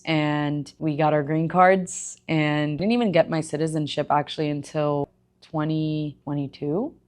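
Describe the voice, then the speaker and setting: A young woman from Egypt tells her own story in a candid, chatty way. Her voice sits low. She drops emphatic little asides as she goes. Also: a little mellow. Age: young adult. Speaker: gym owner and personal trainer. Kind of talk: personal storytelling vlog.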